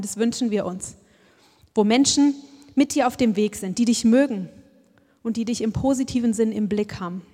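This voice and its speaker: A woman's voice: a little high, a little mellow. A woman giving a sermon in a reflective way, often putting questions straight to her listeners. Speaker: preacher. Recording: long hall reverb.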